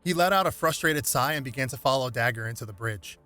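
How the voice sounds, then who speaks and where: Bright-voiced man narrating over music. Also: mid-range voice, expressive, fast talker. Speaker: narrator reading game lore aloud. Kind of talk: dramatic scripted narration.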